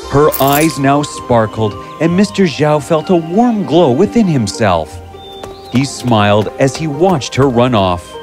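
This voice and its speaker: Slow, expressive male storyteller. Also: mid-range voice, over music. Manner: expressive, measured. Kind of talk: scripted fairy-tale narration.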